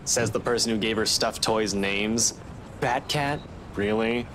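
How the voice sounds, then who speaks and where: A man's voice: on the low side, unhurried, noisy background, close to an everyday voice. A man playing an impatient, teasing young man with a casual, brusque manner in a visual novel game.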